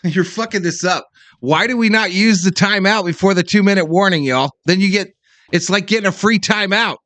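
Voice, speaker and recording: High-pitched man, casual fan commentator. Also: brisk, fairly bright tone, no echo. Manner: blunt, exasperated fan talk with profanity. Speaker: live-stream host and fan commentator. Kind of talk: casual fan play-by-play reaction.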